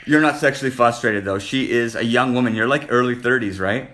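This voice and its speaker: A man's voice: mid-range voice, brisk, a bit bright. A man hosting a casual relationship chat, asking blunt, teasing and probing questions. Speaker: host.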